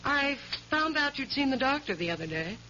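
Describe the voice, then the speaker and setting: A bright, lively American wife, pointed and teasing in an old radio play. Her lines swoop in pitch, on a dull recording with some hiss. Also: normal speed, full character voice. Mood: brisk, affectionate. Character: brisk, playful, insistent wife of a lawyer. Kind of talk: 1970s radio drama acting.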